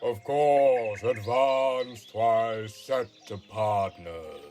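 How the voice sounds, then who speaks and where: A man playing a very slow, mournful turtle creature. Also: lowish voice, a little mellow, soft background music. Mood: wistful. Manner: slow, drawn-out, sorrowful delivery. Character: mournful, sighing male Mock Turtle creature. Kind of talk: scripted audiobook character acting.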